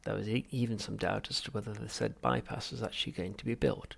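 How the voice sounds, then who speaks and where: A man's voice: on the low side, steady tone, fast, vocal fry, a bit bright, husky. A man reading comic science fiction aloud as scripted audiobook narration. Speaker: audiobook reader.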